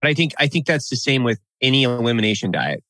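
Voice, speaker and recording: A man co-hosting a podcast in casual, profane banter, blunt and animated, talking into a boom mic in a studio room. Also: quick pace, dry recording. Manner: blunt, animated, swears freely. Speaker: podcast co-host. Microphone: studio mic on boom arm.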